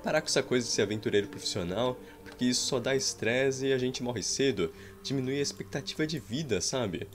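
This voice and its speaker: A young man with a Brazilian Portuguese accent giving playful, rambling gameplay commentary with roleplay jokes and sudden excited outbursts, recorded indoors at home. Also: mid-range voice, brisk, few pauses. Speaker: gameplay streamer. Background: faint game music.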